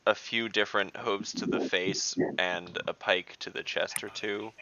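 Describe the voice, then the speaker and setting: A man's voice: on the low side, fairly even intonation, a little slow, sharp tone, highs cut off, a little hiss or hum. A man chatting casually and jokily as a player in a tabletop role-playing game. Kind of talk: casual joking game chat.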